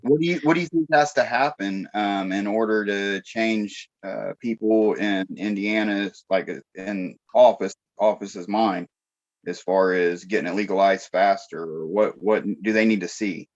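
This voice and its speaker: Lower-voiced guy asking stuff, lots of ums, sounds far off and muffled. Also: fairly even intonation, a little slow. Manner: hesitant, full of fillers. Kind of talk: casual conversational interview.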